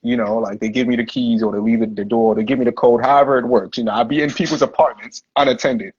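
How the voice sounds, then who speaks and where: A man's voice: medium pitch, fairly even intonation, quick pace, darkish tone, dull audio, a little hiss or hum. A man hosting a livestream podcast, telling stories in a laid-back, slangy way full of "like" and "you know". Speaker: podcast host. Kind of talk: casual livestream interview storytelling.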